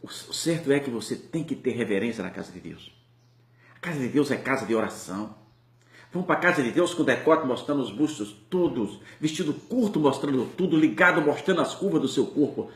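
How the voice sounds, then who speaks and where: A man's voice: mid-range voice, animated, a little fast, echoey room. A man with a Brazilian Portuguese accent preaching a sermon with impassioned, rising and falling delivery.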